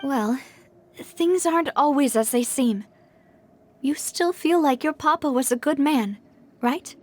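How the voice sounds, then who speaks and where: A woman's voice: high voice, measured pace, a little hiss or hum. An actress playing a determined, kind young female boss, composed and articulate, in a video game cutscene. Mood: gentle, reassuring.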